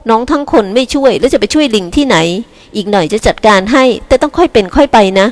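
A woman's voice: high voice, lively intonation, fast talker, in a large hall, pitched above the performer's own voice. Woman playing a cheerful older sister, confident, breezy, reassuring, voice raised a little above the narration.

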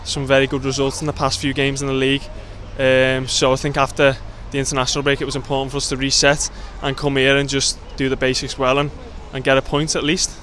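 Footballer, male, twenties: medium pitch, casual interview delivery, faint background noise outdoors. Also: monotone, fast talker, hardly any pauses, fairly bright tone. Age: 20s. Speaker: professional footballer. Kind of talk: casual post-match interview answers. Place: outdoors in a stadium car park. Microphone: handheld interview microphone.

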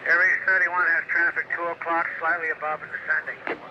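High-voiced airline pilot on noisy radio. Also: male voice, level tone, fairly quick. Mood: calm, puzzled. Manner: understated, matter-of-fact. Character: composed male airline pilot reporting strange traffic. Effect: cockpit radio filter. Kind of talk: radio procedure talk in a film. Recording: dull, noisy aircraft radio link.

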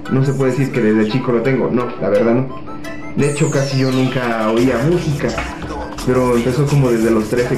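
A sixteen-year-old Mexican boy from Puebla, talking softly and hesitantly into a webcam in a small office, with many fillers. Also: medium pitch. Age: teens. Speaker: young reggaeton artist. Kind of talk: self-interview to webcam. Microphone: webcam at desk. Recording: echoey room with music behind.

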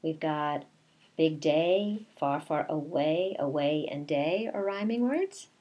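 A middle-aged woman, a kindergarten teacher, reading a picture book aloud in a deliberate, expressive way with asides to young children. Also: deep voice, dull audio. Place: small bedroom at home, indoors.

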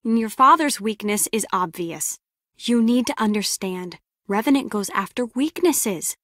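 A woman's voice: medium pitch, lively intonation, measured pace, a bit bright, no room sound, clean studio sound. An actress playing a brusque, sarcastic lone-wolf female spy in a video game, with a curt, biting delivery. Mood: serious, urgent. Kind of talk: scripted game character dialogue.

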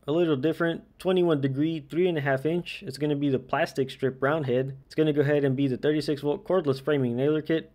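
A man's voice: on the high side, darkish tone. A man doing an informal, matter-of-fact voice-over as a host running through product deals. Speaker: tool deal reviewer and channel host. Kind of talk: casual product deal rundown voice-over.

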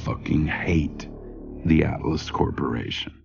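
An actor playing a bitter, self-loathing infected ex-mercenary, narrating a game cutscene in a slow, brooding manner. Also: male voice, rumbling low, vocal fry, lo-fi sound, exaggerated voice. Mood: wry. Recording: music behind the voice.

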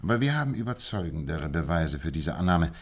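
A man's voice: low-pitched, sing-song, fairly quick. A man playing a confident, methodical detective in a German radio play, lecturing precisely on his deductions. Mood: confident, instructive. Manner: lecturing, precise, self-assured.

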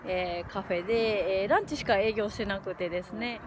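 Cheery woman in her 40s vlogging on a windy snowy hill, phone at arm's length, lots of ums, mid pitch. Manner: cheerful, many filler pauses. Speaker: diet coach and fitness instructor. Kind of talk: casual vlog to camera. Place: outdoors on a windy snowy mountainside. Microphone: phone held at arm's length.

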